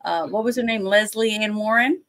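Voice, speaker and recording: A middle-aged woman with a fairly deep voice, co-hosting a casual movie review over a video call, blunt and opinionated. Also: a little fast, fairly bright tone. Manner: blunt, opinionated, laughs often. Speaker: co-host. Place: indoors at home. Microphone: webcam on a video call.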